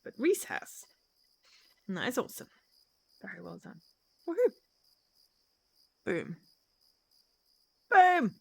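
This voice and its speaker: A woman's voice: a little high, very animated, takes it slowly, gravelly, pauses often, darkish tone, breathy edge, no echo. A woman chatting casually and exuberantly with viewers as she live-streams a game.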